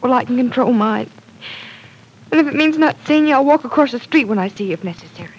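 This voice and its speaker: An actress playing an earnest, gentle young woman caught up in love troubles in an old radio drama, soft and breathy at times. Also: sits high, animated, quick pace, highs cut off. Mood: pleading. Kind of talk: 1940s radio acting.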